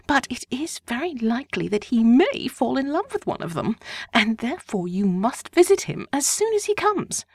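A gushing, insistent middle-aged mother, voiced by a woman reader, rises high and swoops through every line. Her talk is fussy and breathless with gossip. The audiobook sound is close and dry. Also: big pitch swings, moderate pace, dry recording, exaggerated voice. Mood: pleading.

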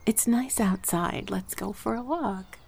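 A woman voice performer chatting gently in an ASMR roleplay, slipping between soft speech and whispers, a little congested from a cold. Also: medium pitch, measured pace, gravelly, breathy edge.

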